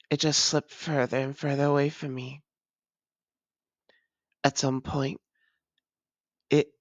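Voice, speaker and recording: Woman playing a slow, low-voiced, tender female vampire lover. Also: no echo. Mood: regretful, subdued. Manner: tender, formal old-fashioned phrasing, often drops into whispers. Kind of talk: intimate romantic audio roleplay, slow and breathy.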